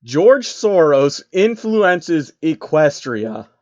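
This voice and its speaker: A man's voice: on the high side, big pitch swings, drawn-out words, a little mellow, dry recording, muffled recording. A man reading a story aloud in an informal, wry way, with amused casual asides. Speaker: narrator reading fan fiction aloud. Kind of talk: casual commentary and amateur storytelling.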